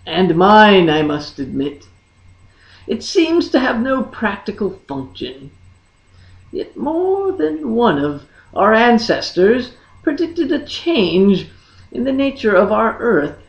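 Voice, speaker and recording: A woman's voice: fairly high pitch, big pitch swings, exaggerated voice. Woman voicing a languid, musing young noblewoman sorceress, echoey. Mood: musing, gentle. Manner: musing, drawn-out, halting phrases. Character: young noblewoman sorceress, gentle, thoughtful, languid royal lover. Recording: dull lo-fi, echoey room.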